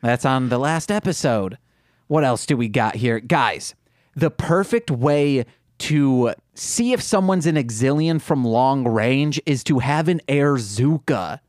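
A man's voice: mid-range voice, animated, measured pace, darkish tone, dry recording, clean studio sound. A man with a Midwestern accent, co-hosting a casual group chat and joking in a playful, over-the-top way. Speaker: podcast co-host.

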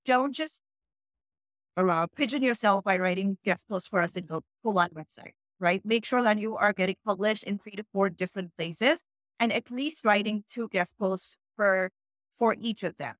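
A woman from Pakistan whose first language is not English talks in a frank, emphatic way as an interview guest. Her pitch sits in the middle of the female range. The sound is dry and close, with little room echo. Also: moderate pace. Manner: frank, energetic, emphatic advice. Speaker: guest, freelance writer. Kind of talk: casual interview talk.

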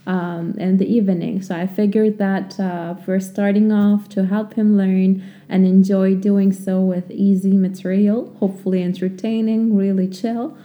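A young woman with a Turkish accent, hosting a casual podcast lesson and talking unscripted with many pauses and fillers. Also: medium pitch, level tone, highs cut off. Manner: unscripted, many ums and restarts. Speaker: language teacher, podcast host.

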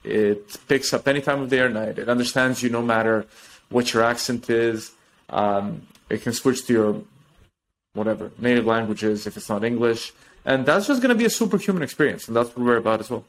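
A man talking as a guest on a podcast interview, explaining technology in an animated way with restarts and trailing thoughts. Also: medium pitch, brisk, rough recording. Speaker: tech company guest.

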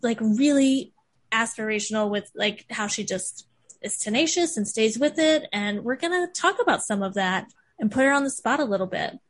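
A chatty woman hosts a podcast, gushing about her guest. Her voice sits a touch high, and her talk is loose and full of fillers and easy laughter. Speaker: podcast host. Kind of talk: casual chat.

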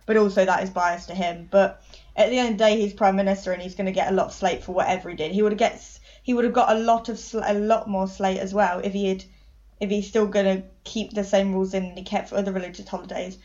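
A woman's voice: mid-range voice, steady tone, fast talker, a little mellow. A woman co-hosting a casual podcast, outspoken and opinionated, swearing freely. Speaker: podcast co-host. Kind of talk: casual chat. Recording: dull lo-fi podcast audio.

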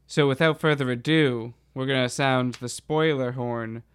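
A man's voice: mid-range voice, fairly even intonation, unhurried, smooth, no room sound, clean studio sound. A man hosting a podcast in a conversational style, introducing and steering the discussion. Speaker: podcast host.

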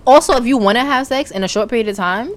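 A woman's voice: a little high, fast. A woman co-hosting a podcast in casual chat, blunt and teasing, handing out frank advice.

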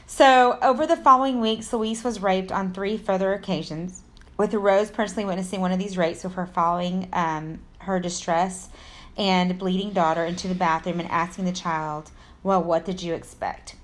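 A woman's voice: mid-range voice, steady tone, normal speed, fairly bright tone. A woman from Texas with an American accent, co-hosting a podcast, reading a story in an informal way with casual asides and stumbles. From Texas, United States. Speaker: true crime podcast co-host.

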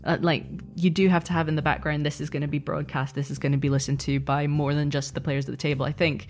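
A woman's voice: low voice, level tone, quick pace, soft background music. A woman answering as a podcast guest and game master in a conversational, thoughtful, explanatory way.

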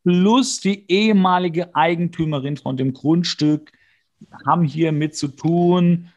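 A man with a slightly higher-pitched voice co-hosts a podcast. He retells things in a casual, bantering way. His delivery is sarcastic and mock-exasperated. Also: measured pace, a little mellow, highs cut off. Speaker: podcast co-host. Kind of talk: casual episode recap banter.

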